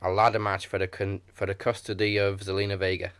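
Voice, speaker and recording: A man co-hosting a wrestling podcast in casual chat, making dry, measured remarks. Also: low-pitched, flat delivery, a bit bright, clear, resonant tone, dry recording. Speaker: podcast co-host.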